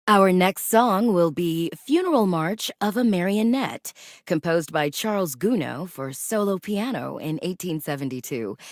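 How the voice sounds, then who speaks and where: A woman playing a poised, courteous classical public radio host in a video game, making measured, refined scripted announcements. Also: fairly low pitch, no room sound, studio quality.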